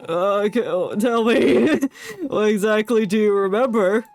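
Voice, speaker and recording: A woman's voice: mid-range voice, animated, unhurried, a little mellow, faint music behind. A woman streaming a game with casual, slangy, joking commentary, reacting to the story. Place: streaming setup, game audio behind.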